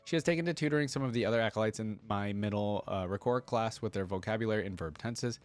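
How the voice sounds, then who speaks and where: Guy doing casual lore reaction commentary, talks really fast, dry close sound, explains as he goes. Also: lowish voice, dry recording. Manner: explanatory, often checks with "right?". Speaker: reaction video host. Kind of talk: casual commentary and reading aloud of game lore.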